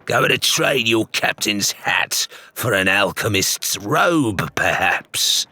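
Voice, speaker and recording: A man's voice: on the low side, lively intonation, takes it slowly, bright, forceful tone, dry recording, full character voice. A man voicing a hostile pirate captain in an audiobook, sneering and demanding as he challenges a rival. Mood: scornful.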